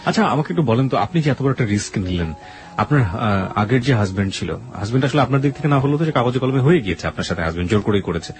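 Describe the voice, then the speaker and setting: A man's voice: mid-range voice, expressive, very fast, soft background music. A man hosting an interview, probing with questions and restating what the guest has said. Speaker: radio host interviewer. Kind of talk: interview with prompts, recaps and backchannels.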